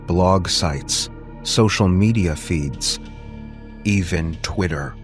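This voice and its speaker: A man reading a scripted story as a dramatic audiobook narrator, deliberate and suspenseful. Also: low-pitched.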